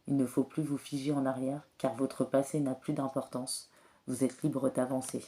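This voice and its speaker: A woman with a cold and a slightly hoarse voice, giving a spiritual card reading in a soft, hesitant way with many filler pauses. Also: very deep voice, flat delivery. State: has a cold, clears throat often. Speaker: tarot and oracle card reader.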